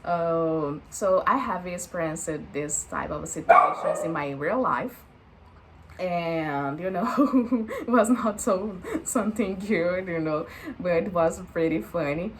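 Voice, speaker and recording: A woman's voice: on the low side, slow, noisy background. A woman in her late twenties with a Brazilian Portuguese accent, chatting unscripted to the camera in a hesitant way, with many fillers. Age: late 20s. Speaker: vlogger. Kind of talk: unscripted casual chat to camera.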